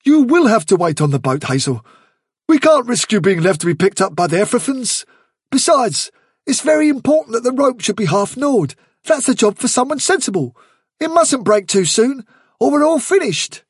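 A man's voice: very high voice, very animated, normal speed, slightly rough, dry recording, studio quality, voice pushed up, full character voice. A man voicing a clever male rabbit adviser in an audiobook, earnest, insistent and carefully reasoning. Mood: earnest urging.

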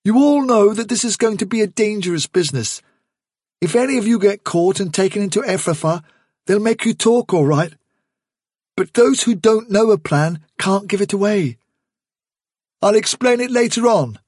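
A man voicing a young male rabbit leader who reasons calmly and persuasively with the others. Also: high-pitched, moderate pace, breathy edge, dry recording, studio quality, pitched above the performer's own voice. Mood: earnest. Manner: earnest, reasoning, steady.

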